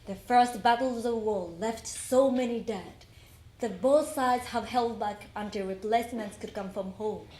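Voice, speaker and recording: A woman giving a deliberate, expressive dramatic reading of a wartime letter for a readers theater. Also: on the high side. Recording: echoey room.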